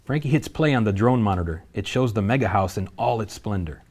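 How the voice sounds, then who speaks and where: A man reading out the stage directions at a table read of a screenplay, in a steady, matter-of-fact way. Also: on the low side, a little fast.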